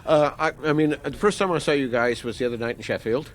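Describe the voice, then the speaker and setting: A man conducts a casual band interview in a mid-pitched voice. He is informal and jokey, and his questions tend to ramble. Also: fast talker, slight background noise.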